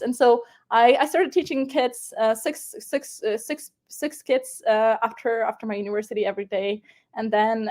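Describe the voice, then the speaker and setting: Young ukrainian woman, kinda high voice, rambling with lots of ums and restarts, laughs a lot. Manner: animated, many restarts and fillers, laughs often. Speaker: guest. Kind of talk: casual interview storytelling.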